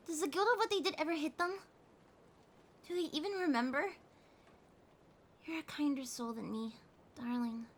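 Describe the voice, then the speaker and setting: A woman acting a needy, tender cat girl in an intimate ASMR audio drama, speaking haltingly and emotionally with breathy whispers. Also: high voice, fairly bright tone, exaggerated voice. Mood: bitter, angry. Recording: rain ambience noise behind the voice. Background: rain sounds.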